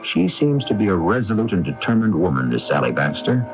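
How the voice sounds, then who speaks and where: A man's voice: on the low side, sing-song, a little fast. A man hosting a radio mystery series with wry, theatrical scripted narration. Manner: wry, sardonic. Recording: muffled AM radio recording. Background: music.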